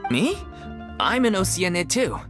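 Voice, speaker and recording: Low-voiced, frank young male actor. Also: big pitch swings, measured pace, music in the background. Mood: casual, upbeat. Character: loyal, rough-edged young male troupe actor. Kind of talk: video game voice acting.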